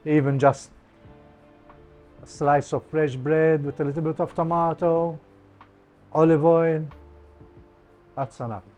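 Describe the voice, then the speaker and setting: A man in his fifties from Malta, a non-native English speaker, talking casually and haltingly in an interview. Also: on the high side, fairly even intonation, a little mellow, faint music behind. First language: not English. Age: 50s. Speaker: cafe owner, interviewee.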